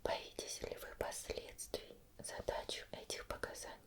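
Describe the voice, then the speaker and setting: A whispered ASMR roleplay voice: a woman playing a female investigator who questions patiently and formally. Every phrase is hushed, measured and gentle. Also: lightly acted. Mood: probing, serious.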